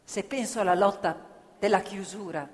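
A woman acting a former factory worker and union militant, proud and grieving, in an emphatic, deliberate theatrical monologue. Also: mid-range voice, lightly acted. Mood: proud, regretful. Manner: emphatic repetitions, deliberate phrasing. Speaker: stage actress in radio theatre. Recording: reverberant theatre hall, music playing along. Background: live violin music.

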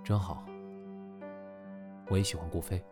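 A wistful, very low-voiced young man. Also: steady tone, a little slow. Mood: wistful, wry. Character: wistful, guarded young male performer hiding heartache. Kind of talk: audio drama acting. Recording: music behind the voice. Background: music.

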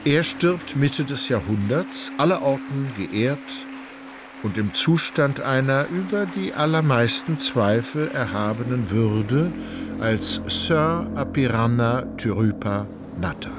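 Measured male narrator over loud music. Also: medium pitch, lively intonation. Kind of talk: literary scripted narration for radio play.

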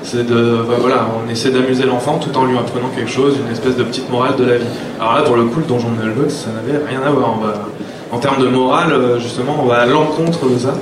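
A man's voice: medium pitch, fairly even intonation, fast. A man speaking informally at a round table, hesitant and repeating words as he searches for the next one. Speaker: animation producer on a panel. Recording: echoey, distant, noisy live room recording.

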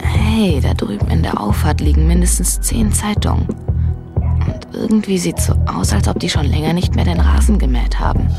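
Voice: mid-range voice, wildly swooping pitch, normal speed, creaky, heavily acted. An actor playing an indignant, streetwise twelve-year-old boy on the run, muttering his thoughts to himself in a radio drama. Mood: anxious. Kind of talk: radio drama monologue, thinking aloud. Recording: studio radio drama with loud music.

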